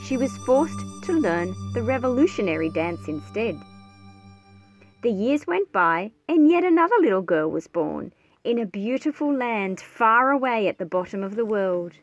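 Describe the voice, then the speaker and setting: A woman narrates a picture book in a fairly high, very animated voice. She tells the story expressively, in a measured scripted read-aloud style. Soft music plays behind her. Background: background music.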